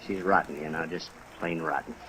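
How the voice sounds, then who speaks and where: An actor playing a bitter, wounded American doctor in a 1950s radio drama, brooding and emphatic. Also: male voice, rumbling low, fairly even intonation, fairly quick, over loud music, low-quality recording. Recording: old radio recording with rain noise.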